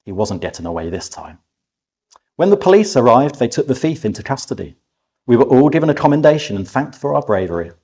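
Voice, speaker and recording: A man reading a children's story aloud as an expressive narrator, with animated delivery and dramatic pauses. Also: medium pitch. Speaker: author reading a competition-winning story aloud.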